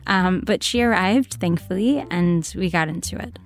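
A woman with a fairly low voice co-hosts a podcast. She narrates and poses interview questions in a friendly, conversational tone. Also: moderate pace, soft background music.

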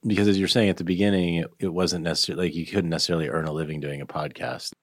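A man's voice: sits low, steady tone, racing, no echo, studio quality. A man hosting an interview, musing aloud in a casual, rambling way with many fillers. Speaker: interviewer, host. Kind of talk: conversational interview.

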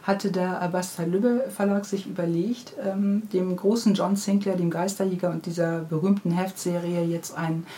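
A woman's voice: lowish voice, steady tone, moderate pace, hardly any pauses, dark tone. A middle-aged woman, an author being interviewed, explaining her stories in a friendly, chatty and detailed way. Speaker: interviewed children's book author. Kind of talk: casual interview, explaining and storytelling. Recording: clearly audible background noise.

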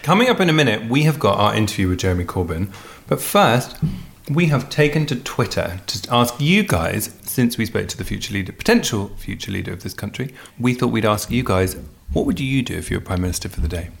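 A man's voice: lowish voice, big pitch swings, a little fast, gravelly, breathy edge. A man co-hosting a podcast in casual chat, teasing with a dry sense of humour. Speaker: podcast co-host.

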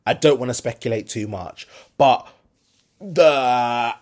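A man from Birmingham with a Birmingham accent, hosting a podcast in a blunt, animated, casual way, swearing freely and swinging between loud and quiet. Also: medium pitch, takes it slowly. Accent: Birmingham English. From Birmingham, England. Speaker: podcast host. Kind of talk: casual opinionated chat.

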